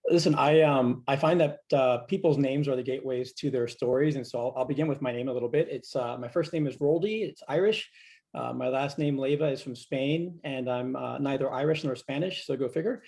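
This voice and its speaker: Guest speaker, middle-aged man from Cuba, gravelly voice, thoughtful and measured, heard over a video call. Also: medium pitch, darkish tone. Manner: reflective, measured, with frequent fillers. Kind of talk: conversational storytelling in a fireside chat.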